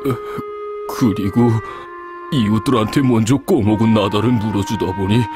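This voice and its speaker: An actor playing a weary, sheepish eldest son in an animated folk tale, sighing, with a North Korean accent. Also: male voice, fairly low pitch, normal speed, close to an everyday voice. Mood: rueful. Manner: sighing, rueful. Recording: music behind the voice.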